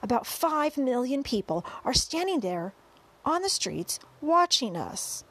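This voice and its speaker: A woman's voice: sits high, big pitch swings, measured pace, fairly bright tone, pitched above the performer's own voice, heavily acted. A woman voicing blustering male fire and police chiefs in a storybook, urgent and exclamatory. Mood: grim, urgent.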